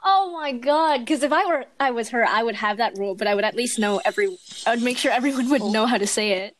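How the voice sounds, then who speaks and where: A young woman telling animated stories in casual chat, laughing often. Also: a little high, fast talker, a little hiss or hum.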